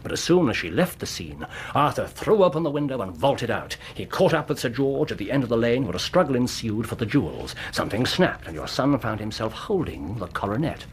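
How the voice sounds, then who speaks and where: An English actor in a radio drama playing a brilliant, self-assured detective who lays out deductions in precise, theatrical storytelling. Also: male voice, mid-range voice, sing-song, fairly quick, few pauses, a little hiss or hum, natural voice. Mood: explanatory, assured.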